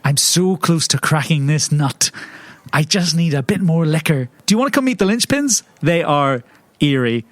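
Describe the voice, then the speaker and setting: A man playing an eager, scheming investigator in an improvised tabletop game, wheedling and theatrical as he flatters people. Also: a little high, normal speed, no room sound, close to an everyday voice. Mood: scheming, urgent.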